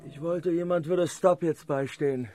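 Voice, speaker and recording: A man's voice: on the high side, fairly even intonation, normal speed, music in the background, close to an everyday voice. A male actor in a radio play as a defiant, mocking sailor who faces death with theatrical gallows humour. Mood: mocking, bitter. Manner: sardonic, theatrical.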